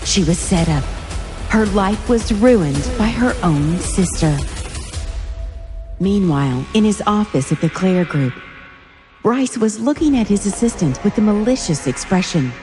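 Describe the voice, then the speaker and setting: Mellow woman narrating a dramatic story. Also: mid-range voice, expressive, unhurried, faint music behind. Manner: suspenseful, dramatic phrasing.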